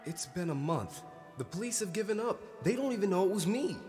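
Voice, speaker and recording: A man's voice: high voice, expressive, brisk, darkish tone, faint music behind. An actor playing an evasive young man, a brother on the run, in a stage drama. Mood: restless, insistent.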